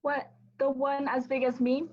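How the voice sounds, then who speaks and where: Woman playing a high-pitched cheeky young street girl. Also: little pitch movement, moderate pace, darkish tone, highs cut off, lightly acted. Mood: cheeky, eager. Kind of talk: radio play acting.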